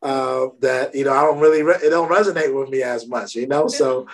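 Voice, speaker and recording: A man's voice: mid-range voice, a little fast. Laid-back man from Brooklyn, casual host. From Brooklyn, New York. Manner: laid-back, frequent "you know" and "right?". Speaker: podcast host. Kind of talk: casual interview chat.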